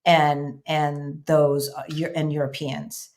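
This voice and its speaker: Woman, middle-aged, low voice, host, casual chat, fillers and restarts. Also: level tone, slow, darkish tone. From United States. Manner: thoughtful, repeats herself, many fillers and restarts; addresses viewers directly.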